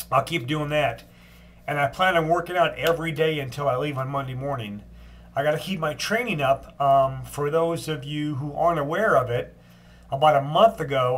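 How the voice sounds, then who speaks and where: Older man, congested from a cold, fairly high voice; plain unhurried diary-style update. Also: fairly bright tone, a little hiss or hum. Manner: plain, unhurried talk with frequent ums and restarts. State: stuffed up from a cold. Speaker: long-distance walker giving a daily update. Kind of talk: casual video diary.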